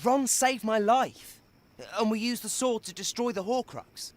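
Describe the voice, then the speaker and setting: A young man playing an earnest, urgent teenage wizard hero in a video game cutscene, his voice husky. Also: very high voice, unhurried.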